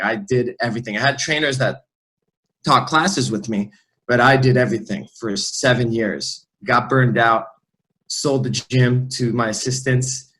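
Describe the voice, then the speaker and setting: Guest, man, 30s, easygoing storyteller, mid pitch. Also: fairly bright tone. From Lebanon, raised in the US. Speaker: guest, fitness trainer. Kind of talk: casual interview. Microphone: remote recording.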